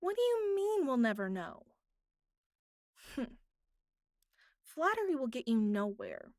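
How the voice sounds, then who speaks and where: A woman playing a bratty but vulnerable young captive in an intimate audio roleplay, speaking haltingly with pleading, pouty turns and soft breathy asides. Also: high-pitched, big pitch swings, no echo, studio quality, full character voice. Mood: teasing, bratty.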